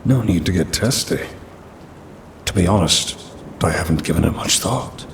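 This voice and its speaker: A man's voice: expressive, moderate pace, creaky. A man playing a smug, ancient demon trapped in a cursed necklace in an ASMR roleplay, with haughty, teasing, old-fashioned speech. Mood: smug, menacing. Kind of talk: ASMR audio roleplay acting. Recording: rumbling rain and thunder noise.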